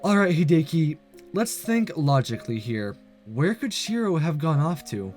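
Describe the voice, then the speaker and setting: A man's voice: on the high side, very animated, normal speed, a little mellow, soft background music. A man reading aloud as an anxious teenage schoolboy narrating a spooky visual novel, wry and muttering to himself. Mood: reasoning.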